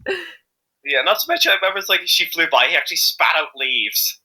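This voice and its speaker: A man speaking as an amateur voice actor being interviewed, chatting casually in a jokey, halting, rambling way. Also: fairly high pitch, sing-song, pushed and bright, husky.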